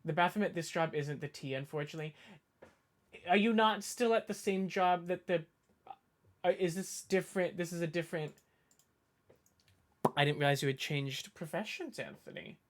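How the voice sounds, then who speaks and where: A woman's voice: on the low side, normal speed, pauses often. Woman, light Boston accent, casual stream chat, rambling, lots of fillers. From suburbs outside Boston.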